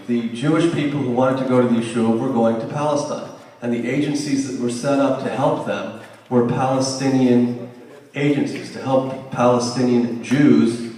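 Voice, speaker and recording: A man's voice: mid-range voice, darkish tone. Man, middle-aged, conversational lecture, restarts, very echoey hall, distant mic. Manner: conversational, with frequent restarts and asides. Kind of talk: history lecture to a class. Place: lecture room.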